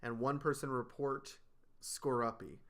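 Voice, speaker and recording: Clear bright-voiced man explaining. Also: mid-range voice, measured pace. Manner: steady, explanatory, listing things off. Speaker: podcast host. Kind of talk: casual chat.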